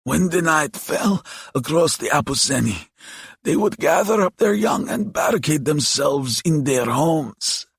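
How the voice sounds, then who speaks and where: Old demon, frail, proud, menacing, croaky, hissing, high-pitched, very slow, grandiose, audiobook fantasy villain. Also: male voice, lively intonation, no echo, clean studio sound, exaggerated voice. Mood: bitter pride. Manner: slow, grandiose, drawn-out delivery. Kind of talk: dramatic audiobook character voice.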